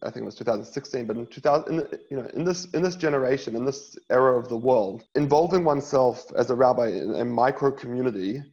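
A man in his thirties from New Zealand, a rabbi telling his life story as an interview guest in an earnest way, often pausing on uh. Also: mid-range voice, a little mellow, lo-fi sound. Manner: earnest, many filler uhs, restarts.

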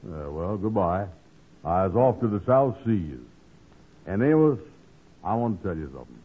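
A hapless, slow-witted sitcom dupe from 1940s radio comedy. His voice is low and husky, his folksy dialect doleful. It comes through a narrow, muffled old broadcast recording. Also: sing-song, exaggerated voice. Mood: glum, resigned.

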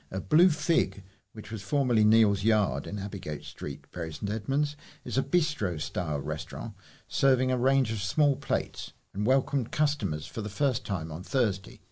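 A man reading local news aloud, a little halting over names. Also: fairly low pitch, dark tone, slightly rough. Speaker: volunteer news reader.

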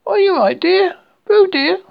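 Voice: very high-pitched, animated, moderate pace, vocal fry, dull audio, pitched above the performer's own voice, heavily acted. A storyteller voicing an anxious, fussing mother kangaroo from a children's storybook.

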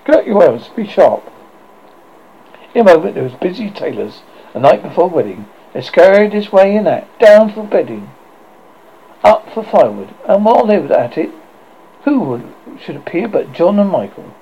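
Guy reading a kids novel out loud, lots of stumbles, gravelly, muffled lo-fi with hiss. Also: high-pitched, moderate pace. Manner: expressive, with frequent slips and restarts. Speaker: audiobook reader. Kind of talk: reading a classic children's novel aloud.

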